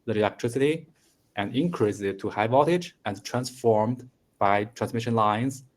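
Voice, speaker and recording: A man in his thirties whose native language is Mandarin, speaking English slowly in a conversation lesson with many fillers and restarts. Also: medium pitch. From China. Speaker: engineering student.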